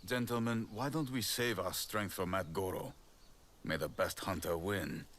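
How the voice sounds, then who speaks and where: Samurai lord: deep male voice, formal and diplomatic, game drama, noticeable background noise. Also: measured pace, a bit bright. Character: courteous, dutiful samurai lord hunting outlaws. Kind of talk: video game dramatic acting.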